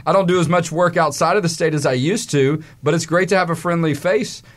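A man's voice: on the high side, a little fast. A man hosting a studio talk show, speaking in a friendly, chatty, folksy way into a studio mic. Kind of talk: casual studio interview. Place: radio studio.

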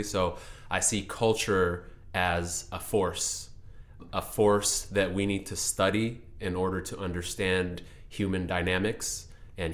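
A young man with a deep voice and very little rise and fall in his speech. He answers interview questions thoughtfully, explaining ideas step by step and often circling back to restart a phrase. He grew up in Seattle in an Ethiopian family. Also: fairly bright tone. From Ethiopian family, raised in Seattle. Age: young adult. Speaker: interview guest, attorney.

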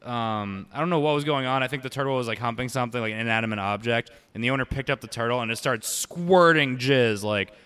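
A young man with a loud voice, rambling and swearing through crude casual banter. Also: mid-range voice, fast, few pauses, clear, resonant tone. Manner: rambling, swears a lot, says "like" often.

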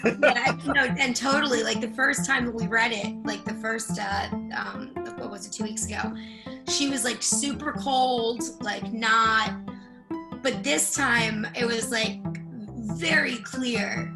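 Woman, fairly high voice, heavy vocal fry, casual discussion, loud music underneath. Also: takes it slowly. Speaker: actor in a script reading.

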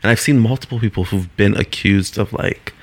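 A man with a heavily gravelly, fry-laden lower voice talks loosely and rambles as a guest, sometimes jumping high to act out what people said. The sound is close and dry. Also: brisk, no echo. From Canada. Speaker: stand-up comedian, guest. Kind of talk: casual interview chat.

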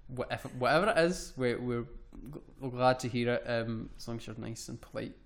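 A man's voice: medium pitch, steady tone, normal speed. A young man, co-hosting a casual podcast and steering it along with frequent ums and restarted words. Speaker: podcast co-host.

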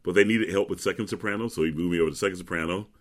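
Deep resonant bass-voiced man. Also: racing. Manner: chatty storyteller, laughs easily. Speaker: opera bass, guest. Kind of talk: relaxed interview chat.

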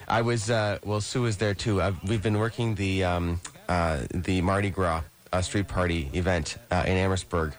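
Man hosting radio chat, bit hoarse, lowish voice, friendly rambling delivery. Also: steady tone, normal speed, hardly any pauses. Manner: friendly, many fillers and restarts. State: losing his voice. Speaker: radio show host, real estate broker. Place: radio studio.

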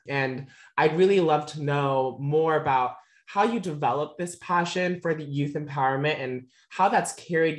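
Polite, affirming woman interviewer. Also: very deep voice. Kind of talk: interview questions and commentary.

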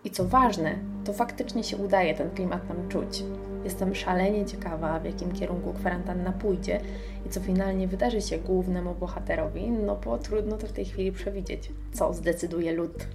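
A woman presents on radio in a fairly low voice. There is noticeable fry in it as she reviews and shares her own commentary. Music plays clearly behind her. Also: fairly even intonation, moderate pace. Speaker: radio show host.

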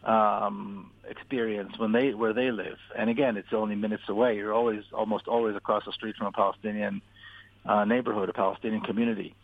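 A man from Israel giving a deliberate, earnest account as a radio interview guest, repeating words for emphasis. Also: on the low side, level tone, creaky. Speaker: interview guest, author and activist. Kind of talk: radio interview, personal account and political argument. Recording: tinny, phone-like narrow-band line.

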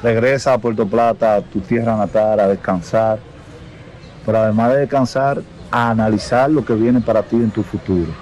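A male reporter with a somewhat low voice puts his questions plainly and directly. His delivery stays businesslike throughout the interview. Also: fairly even intonation, normal speed, darkish tone, slight background noise, poor audio. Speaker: sports reporter.

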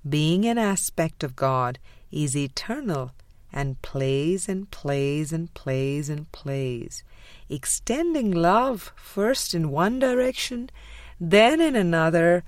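A woman narrating a spiritual audiobook in a measured, deliberate way with frequent pauses. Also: sits low, expressive, dry recording. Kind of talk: scripted spiritual book reading.

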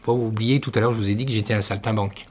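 Man, middle-aged, from northern France, baker, interview guest, lowish voice, halting, lots of fillers. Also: fairly even intonation, darkish tone, slight background noise. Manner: hesitant, many fillers and restarts. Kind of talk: conversational interview.

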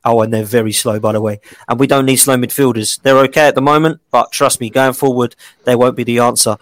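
A man's voice: medium pitch, steady tone, fast talker, no echo. A man co-hosting a casual football chat, speaking confidently and declaratively. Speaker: podcast co-host.

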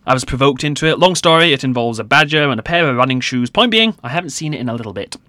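A man's voice: mid-range voice, animated, fast talker, bright, forceful tone, no room sound. A man playing a talkative, easygoing, joking secondary-school pupil in a light comedy audio drama, rambling playfully.

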